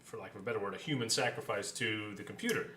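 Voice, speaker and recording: A man with a bright, forceful voice talks through things casually as a co-host. The sound is roomy and slightly distant from the microphone. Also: fairly low pitch, fast. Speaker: co-host and player. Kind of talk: casual discussion. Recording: roomy, distant mic with faint noise.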